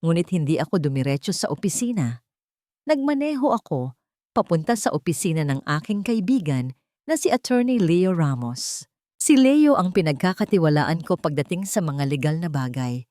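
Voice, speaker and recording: A woman narrates a dramatic story in a lowish voice. Her pitch moves widely as she reads, and the sound is close and dry. The delivery is scripted and expressive. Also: normal speed, no room sound.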